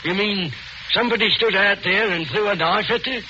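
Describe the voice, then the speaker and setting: Gruff British bruiser, old muffled radio. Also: male voice, high-pitched, brisk, bright, forceful tone. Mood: uneasy. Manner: rough working-class slang, blunt. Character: burly, gruff ex-wrestler bodyguard, loyal and plain-spoken. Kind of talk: 1940s radio acting. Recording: muffled, noisy 1940s radio recording.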